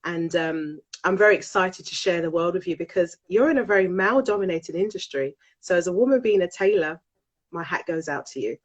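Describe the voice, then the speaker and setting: A woman's voice: on the low side, fairly quick. A British woman with a nasal, congested voice from a cold, hosting a live online chat show in an effusive way, often repeating her phrases. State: has a cold. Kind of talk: casual live chat interview. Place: live video call.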